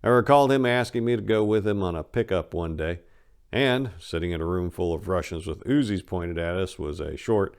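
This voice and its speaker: A man hosting and narrating a story in an informal, conversational, dramatic reading style. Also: low-pitched. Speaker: storyteller and channel host.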